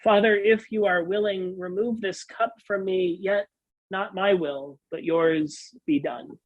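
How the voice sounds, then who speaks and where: A pastor reads scripture in a solemn, dramatic style, with earnest and deliberate delivery. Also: mid-range voice, fairly even intonation, no room sound, narrow, tinny audio.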